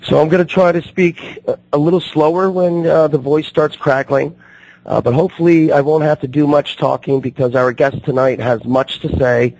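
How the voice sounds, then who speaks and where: A man hosting a radio talk show, his voice still recovering from being lost, talking haltingly with many fillers and restarts. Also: fairly high pitch, steady tone, a little mellow, dry recording, rough recording.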